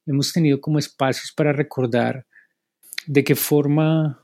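A man's voice: on the high side, fairly even intonation, normal speed, darkish tone, dry recording, studio quality. A man who runs writing workshops, speaking hesitantly with many fillers. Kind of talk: conversational interview answer.

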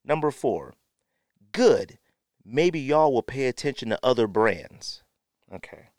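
A man's voice: medium pitch, animated, slow pace, darkish tone, no echo, studio quality. A man hosting a podcast with opinionated commentary, sniffly from a runny nose and repeating phrases for emphasis. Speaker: podcast host. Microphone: podcast microphone, close.

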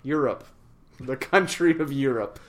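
A young man co-hosting a casual comedy podcast, voice tired from a day of talking, playful and quick to laugh. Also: mid-range voice. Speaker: podcast co-host and writing tutor.

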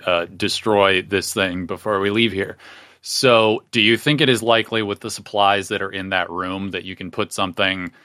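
A man playing a tabletop game, talking through plans in character in a deliberate, halting way. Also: lowish voice, sharp tone, no echo, clean studio sound. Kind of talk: casual in-character game discussion.

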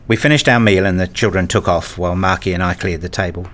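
A man reading a scripted first-person story as narrator, in a measured, introspective manner. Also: low-pitched, a bit bright.

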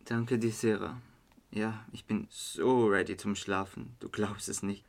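Man playing a drunk young Swiss guy, low voice. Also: fairly even intonation, a little slow, vocal fry. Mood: sleepy. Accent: Swiss German. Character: drunk, remorseful young man confiding in his best friend. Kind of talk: radio drama acting.

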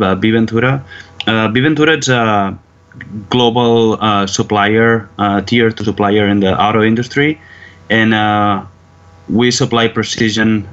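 A man's voice: lowish voice, a bit bright, clean tone. Hesitant young man from near Barcelona. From Spain, near Barcelona. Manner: careful, many "uh" pauses. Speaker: plant manager, guest. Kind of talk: interview answers. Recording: dull lo-fi line with faint noise.

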